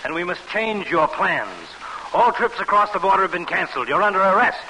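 An actor playing a smug, menacing German officer, sardonic and commanding, in an old-time radio drama. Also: male voice, high-pitched, a little fast, close to an everyday voice. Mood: menacing, mocking. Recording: noisy vintage radio broadcast.

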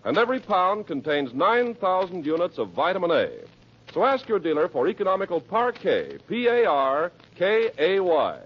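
Male vintage radio announcer, emphatic and polished, very animated, dull noisy 1940s broadcast sound. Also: sits high, moderate pace, slightly rough. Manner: polished, emphatic sales delivery. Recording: muffled old radio recording, faint noise.

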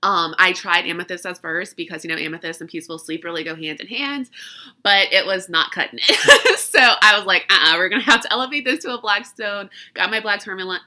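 A woman's voice: fairly low pitch, fairly quick, pushed and bright. A woman hosting a podcast in casual chat, congested and stuffy from allergies, chatty and often laughing at herself. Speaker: podcast host.

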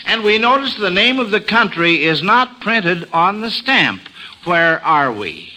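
A man's voice: high-pitched, very animated, unhurried, bright, forceful tone, rough recording. An American man hosting an old-time radio quiz show for children, with folksy, playful, exclamatory patter. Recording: old radio recording with background noise.

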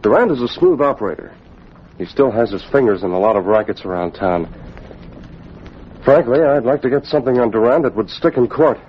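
Male actor as assured young publisher, mid-pitched, animated, earnest radio-drama delivery, dull faintly noisy recording. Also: a little fast, lightly acted. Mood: serious. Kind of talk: old-time radio acting.

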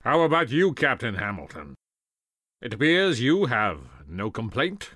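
A man's voice: mid-range voice, wildly swooping pitch, measured pace, pushed and bright, dry recording, clean studio sound, heavily acted. A man voicing a commanding male general who speaks in formal, rousing tones. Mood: probing.

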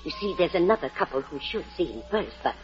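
An actress playing a kindly, fussy orphanage matron in a 1940s radio drama, fluttery, genteel and confiding. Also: female voice, fairly low pitch, big pitch swings, fast talker. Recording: muffled vintage 1940s radio broadcast, faint music.

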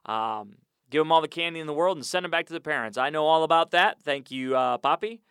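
A man's voice: on the high side, lively intonation, fast, a bit bright, clear, resonant tone, no echo, clean studio sound. A man hosting a sports podcast from a small audio booth, chatty and upbeat with rambling asides. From United States. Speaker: podcast host. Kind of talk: casual podcast intro and interview.

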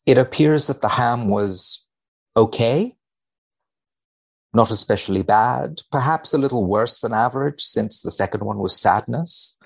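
A man speaking as a podcast guest in a measured, deadpan way with dry humour, both chatting and reading research aloud. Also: mid-range voice, vocal fry, husky. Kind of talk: wry conversation and dramatic reading of a research paper.

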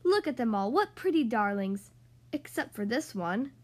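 A flock of mean, mocking duckyard ducks from a storybook, voiced by a woman. They jeer loudly and squawk high, shrill quacks. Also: big pitch swings, moderate pace. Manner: loud squawking quacks, jeering.

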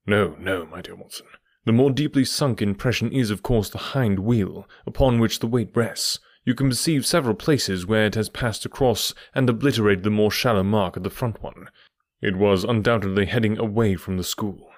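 A man voicing a brisk, methodical middle-aged male detective who explains his reasoning with precise confidence. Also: lowish voice, dry recording, studio quality. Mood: lecturing.

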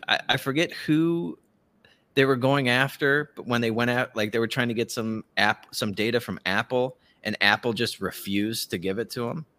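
A man's voice: mid-range voice, a bit bright. A man giving casual, profane political commentary as a podcast host, ranting and mockingly acting out what others say.

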